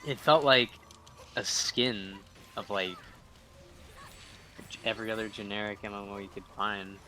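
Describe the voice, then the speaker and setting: A man's voice: fairly low pitch, unhurried, bright, forceful tone, faint music behind. A young man chatting casually on a game livestream, blunt and swearing freely. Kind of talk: casual gaming chat.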